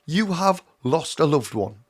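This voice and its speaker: A hoarse man giving an earnest, emphatic teaching talk on mediumship, often calling his viewers his friends. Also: a little high, expressive, unhurried. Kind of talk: direct-to-camera teaching talk.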